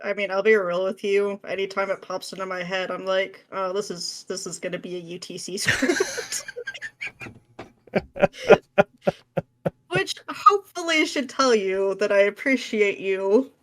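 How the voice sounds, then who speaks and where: A woman's voice: mid-range voice, animated, unhurried, a bit bright. A woman with a mid-range voice talks casually as a guest on a podcast. Her delivery is playful and conversational. She tends to voice imagined remarks as she explains herself. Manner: playful, acts out imagined quotes. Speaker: podcast guest, script writer. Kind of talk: casual chat.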